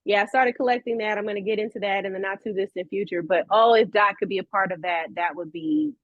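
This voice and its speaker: An American woman with a medium-pitched voice talks casually as a guest on an interview. She is expressive and earnest, effusive about her subject. The sound is close and dry, a little dull and lo-fi. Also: fast talker, dry recording. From California, USA. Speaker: voice actress, podcast guest.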